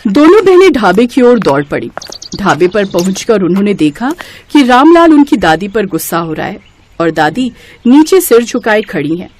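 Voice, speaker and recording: A woman's voice: medium pitch, very animated, normal speed, a little mellow. A woman reading scripted storybook narration as the narrator.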